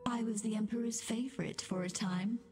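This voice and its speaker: A woman's voice: on the high side, steady tone, brisk, dark tone, soft background music, lightly acted. A woman playing a mad, bitter, undying queen in a video game, muttering and repeating herself theatrically. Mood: mournful, bitter. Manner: unhinged, repetitive, theatrical, muttering.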